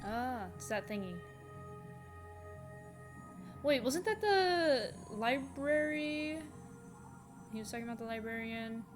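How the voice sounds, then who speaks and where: Woman, casual reaction commentary, higher-pitched voice, informal, light background music. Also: animated, slow pace, long pauses. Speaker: video creator reacting to game cutscenes.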